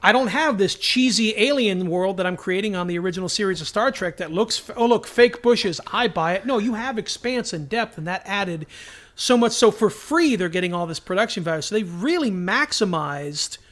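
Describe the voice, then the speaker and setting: A man's voice: sits high, lively intonation, normal speed, fairly bright tone. A man co-hosting a podcast in casual fan discussion, acting out bits of dialogue as he explains. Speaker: podcast co-host.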